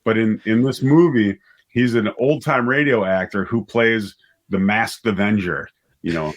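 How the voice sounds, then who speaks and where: Middle-aged male interviewee, lowish voice, chatty and keen, circles back over his words. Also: unhurried. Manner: rambling, enthusiastic, repeats words as he thinks aloud. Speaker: interview guest, audio drama writer and director. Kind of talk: casual interview conversation.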